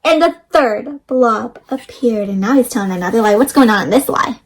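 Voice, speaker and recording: A woman hosting an interactive storybook read-aloud for children, speaking in an animated way and putting questions directly to her young listeners. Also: a little high, moderate pace, soft background music.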